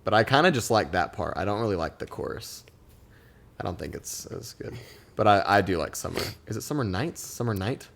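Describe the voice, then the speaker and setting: A man's voice: lowish voice, lively intonation, brisk, gravelly, a bit bright. A young man co-hosting a podcast, chatting casually in a rambling, laughing way. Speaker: podcast co-host. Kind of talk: casual chat. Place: podcast studio.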